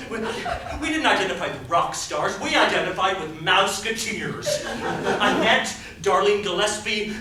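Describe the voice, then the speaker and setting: A man's voice: high voice, natural voice. An actor playing an agitated, nostalgic middle-aged man in a theatrical stage monologue. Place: theatre stage before an audience. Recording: roomy, distant stage recording, audience noise.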